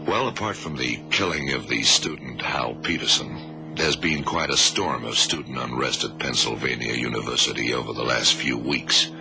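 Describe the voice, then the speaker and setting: An actor playing a cool, authoritative government agent in a radio drama, explaining things in a measured, commanding way. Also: male voice, a bass voice, music in the background. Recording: noisy old radio recording.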